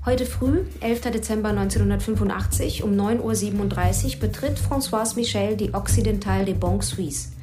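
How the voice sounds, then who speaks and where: An actress playing a police investigator giving a matter-of-fact report. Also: female voice, mid-range voice, a little fast, hardly any pauses, music in the background, lightly acted. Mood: factual.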